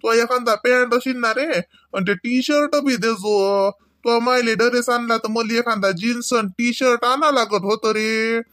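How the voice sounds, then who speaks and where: A man's voice: extremely high pitch, fairly even intonation, a little slow, a bit bright. A man playing a playful older village husband and father in a comedy cartoon, with a Varhadi Marathi accent and a folksy, rambling, mock-complaining way of talking. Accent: Varhadi Marathi from Vidarbha.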